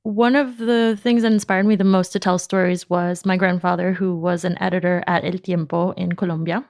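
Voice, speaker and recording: A woman from New York, a native Spanish speaker, answering interview questions with animated storytelling and frequent laughter. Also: lowish voice, normal speed, creaky, dry recording, studio quality. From New York, United States. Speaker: podcast company founder, guest.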